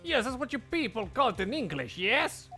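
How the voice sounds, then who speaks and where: A man voicing a haughty, impatient European witness in a video game, fussy and pompous, with halting foreign phrasing. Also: very high voice, sing-song, pushed and bright, soft background music, pitched above the performer's own voice, exaggerated voice. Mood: irritated.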